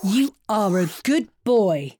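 A woman voicing a kind, fussing village mother with a husky voice. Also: mid-range voice, big pitch swings, takes it slowly, dark tone, voice pushed up. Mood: doting.

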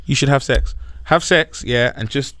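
A young man with a London accent chats as a podcast guest, brash and joking, stumbling and repeating words. His voice sits in a middle pitch. The sound is dry and close. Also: normal speed, a bit bright, no room sound. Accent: London English. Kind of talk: casual chat.

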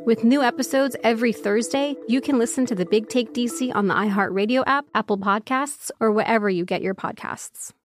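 A woman's voice: on the high side, brisk, hardly any pauses. A woman journalist and podcast host reading a scripted promo. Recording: clear music bed behind voice.

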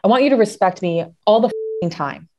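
A woman's voice: mid-range voice, expressive, brisk, dark tone, highs cut off, slight background noise. A woman co-hosting a talk show, speaking bluntly and with emphasis, swearing now and then to drive a point home. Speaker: podcast co-host. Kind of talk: candid conversational chat.

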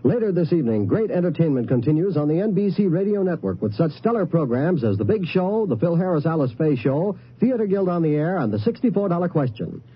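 A man's voice: a little high, very animated, fast, few pauses, slight background noise. A man with an American accent reading a scripted promo as a 1950s radio network announcer. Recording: muffled old radio recording.

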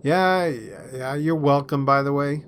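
A man's voice: a little high, a little slow, a little hiss or hum, close to an everyday voice. Man playing put-upon, good-humoured young boyfriend. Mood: sputtering, bantering.

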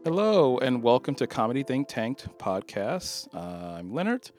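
A man with a Chicago accent co-hosting a podcast in halting, easy-laughing casual chat. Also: medium pitch, very animated, a little mellow, soft background music. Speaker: podcast co-host.

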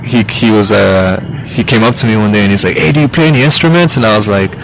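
A man's voice: fairly low pitch, fast talker, slight background noise, poor audio. A man in his thirties, a musician guest on a podcast, talking casually and rambling with lots of fillers and restarts. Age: 30s. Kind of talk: casual interview chat.

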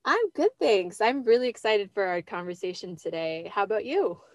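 A woman's voice: mid-range voice, normal speed, dry recording, clean studio sound. A young adult woman hosting a podcast, eager and full of praise for her guest. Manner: enthusiastic, gushing praise, lots of "I love it" and "so excited". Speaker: podcast host. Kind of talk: podcast interview.

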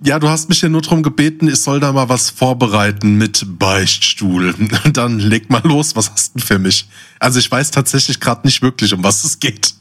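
A man's voice: mid-range voice, moderate pace, fairly bright tone. A man chatting casually as a podcast co-host, telling stories in a slangy, joking way. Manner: slangy, jokey. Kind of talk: casual chat with joking anecdotes.

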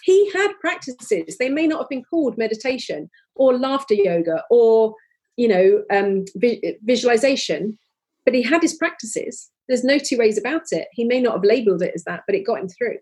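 A woman's voice: on the high side, expressive, normal speed, a little mellow, slightly rough. A woman in her forties, a podcast guest, talking earnestly and openly with many restarts. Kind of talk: candid conversational interview.

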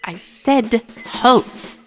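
A woman's voice: fairly high pitch, extremely slow, slight background noise. A woman voicing a small, stern stone golem officer, officious, formal and commanding, in a storybook tale. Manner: commanding, formal, matter-of-fact.

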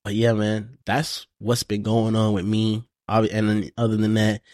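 A relaxed man chatting on a podcast, spinning casual stories and peppering them with slang. His voice sits fairly low, recorded close and dry with no room sound. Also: moderate pace, no room sound. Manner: laid-back storytelling, lots of "bro" and "you know". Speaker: podcast co-host. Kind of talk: casual chat.

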